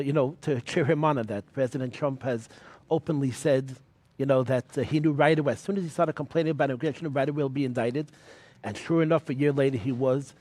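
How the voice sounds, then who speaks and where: A man who writes about politics, answering interview questions in a measured way, with frequent pauses and "you know.". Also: mid-range voice, a little mellow, no room sound. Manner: measured, many fillers and restarts.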